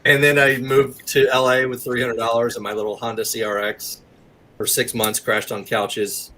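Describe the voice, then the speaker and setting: A man's voice: mid-range voice, flat delivery, moderate pace, bright, forceful tone, slight background noise, low-quality recording. An American man in his forties chatting casually and candidly as an interview guest, rambling a little. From southeastern United States. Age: 40s. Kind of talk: casual autobiographical chat.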